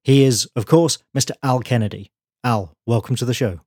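A man hosting a podcast, reading a brisk scripted intro and then interviewing his guest. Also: medium pitch, darkish tone, no echo, clean studio sound. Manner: brisk, articulate presenter. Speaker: podcast host. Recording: breathy voice over faint guitar music.

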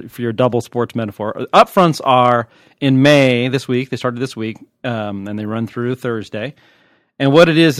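A man's voice: mid-range voice, fairly bright tone, no echo. American man in dry, close podcast sound. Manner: wry, joking. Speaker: TV critic and podcast host. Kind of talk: casual podcast chat.

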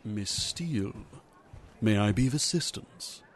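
Guarded, formal butler. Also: male voice, lowish voice, animated, a little slow, slight background noise. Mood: politely formal. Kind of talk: radio theater acting.

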